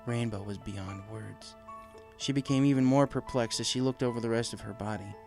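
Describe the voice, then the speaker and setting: A man's voice: mid-range voice, moderate pace, vocal fry, a little mellow. Male story narrator over music. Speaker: fan fiction reader, narrator.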